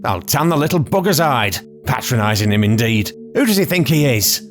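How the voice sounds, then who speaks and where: A man's voice: mid-range voice, very animated, brisk, a bit bright, slight background noise, pitched above the performer's own voice. A man voicing a brash, feisty older woman who blusters and scolds in an audiobook. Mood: blustering. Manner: brassy and blustering.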